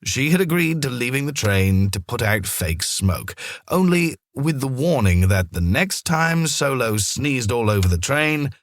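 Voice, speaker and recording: A man reading a story aloud as a narrator, in a measured, expressive storybook manner. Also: medium pitch, no room sound, clean studio sound. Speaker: storyteller, audiobook reader.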